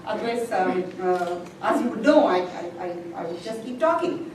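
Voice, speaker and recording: A woman's voice: medium pitch, lively intonation, moderate pace, darkish tone. A woman speaker giving a chatty, self-deprecating talk on composting in a library room. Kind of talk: informal presentation. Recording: echoey room, far from the mic, noisy.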